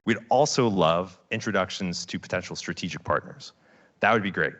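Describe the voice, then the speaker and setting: A man co-founder delivering a confident, salesy startup pitch on stage. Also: fairly quick, fairly bright tone, lo-fi sound. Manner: confident, salesy, building to asks.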